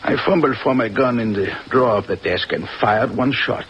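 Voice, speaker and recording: Halting Frenchman, muffled old radio. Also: medium pitch, husky. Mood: pained. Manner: halting, formal, courteous. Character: injured Frenchman, polite, shaken office man. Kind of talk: old-time radio detective acting. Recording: muffled hissy old radio, rain over it.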